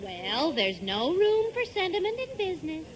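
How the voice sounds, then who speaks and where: An actress playing a sensible housewife who wryly chides, in a 1950s radio comedy. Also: female voice, extremely high pitch, big pitch swings, moderate pace. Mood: wry chiding. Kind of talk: 1950s radio sitcom acting. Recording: vintage 1950 radio recording.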